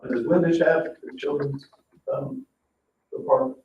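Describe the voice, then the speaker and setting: A mature man thinking aloud in an informal meeting, tentative and halting as he puts questions. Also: on the high side, dark tone. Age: middle-aged or older, a grandfather. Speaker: redevelopment commission meeting participant. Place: meeting room, mic at a distance. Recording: roomy, distant, dull, faint noise.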